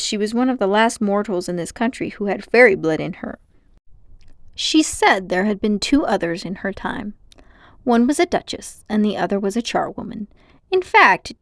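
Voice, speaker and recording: A woman's voice: mid-range voice, no room sound, studio quality. A woman voicing a pompous, condescending, scheming old man, a self-important magician uncle in a storybook. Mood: boastful, mysterious. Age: older. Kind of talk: storybook character voice.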